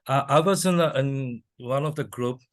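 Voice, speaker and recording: A man's voice: mid-range voice, moderate pace, no echo, clean studio sound. A man from Bangladesh, making points on a workshop video call and often hesitating and restarting. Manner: hesitant, many fillers and restarts. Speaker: workshop participant.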